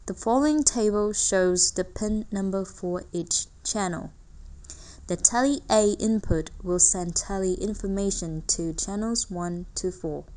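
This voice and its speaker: A woman's voice: mid-range voice, a little slow, a little mellow. A woman reading a scripted technical tutorial carefully, word by word. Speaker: tutorial narrator.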